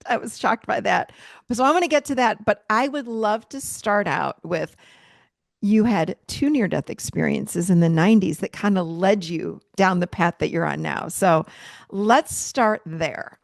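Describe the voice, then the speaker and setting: A woman's voice: mid-range voice, unhurried, gravelly, dry recording, clean studio sound. A woman hosting her podcast show, welcoming a guest with enthusiastic exclamations. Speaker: podcast host. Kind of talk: interview host chat.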